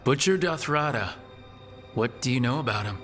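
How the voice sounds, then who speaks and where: A man's voice: medium pitch, animated, moderate pace, music in the background, close to an everyday voice. A man voicing a supportive, inquisitive hero in a video game, with a direct, questioning manner. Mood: probing.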